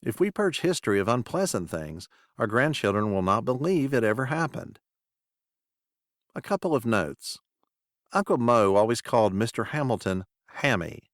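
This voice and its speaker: A man reading a scripted podcast introduction as host, in a measured, formal announcing manner. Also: medium pitch, sing-song, no room sound, studio quality.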